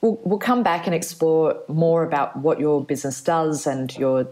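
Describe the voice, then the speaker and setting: A woman hosting a podcast interview, asking questions in a friendly, measured way. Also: sits low, dark tone. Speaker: podcast host.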